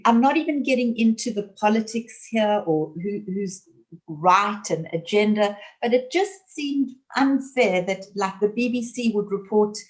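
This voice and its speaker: A woman's voice: medium pitch, animated, poor audio. Earnest woman author. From South Africa. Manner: earnest, emphatic, speeds up and slows down. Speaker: author and guest. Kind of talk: conversational interview.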